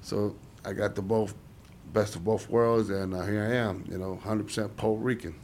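A man in his forties from Chicago with a lowish voice, talking as an interview guest. He tells stories in a loose, rambling way and keeps dropping "you know" between thoughts. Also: steady tone, normal speed, a little mellow, slight background noise. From Chicago, USA. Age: 40s. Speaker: interview guest, former boxer. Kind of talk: casual interview talk.

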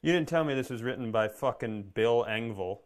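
A man's voice: medium pitch, expressive, moderate pace. A man co-hosting a comedy podcast in casual banter, with a sardonic, blunt, profane way of talking. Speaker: podcast co-host.

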